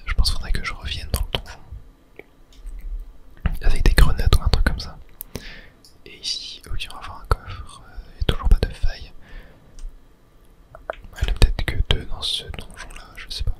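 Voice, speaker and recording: A person softly whispering a running ASMR commentary over a video game, in short hushed phrases. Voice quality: soft unvoiced whisper. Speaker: gaming ASMR streamer.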